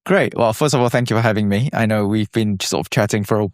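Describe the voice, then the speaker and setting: A man's voice: fairly low pitch, fast, dry recording, clean studio sound. A man in his thirties from Malaysia, a scientist guest on a podcast, explaining earnestly with some fillers and restarts. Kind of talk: podcast interview.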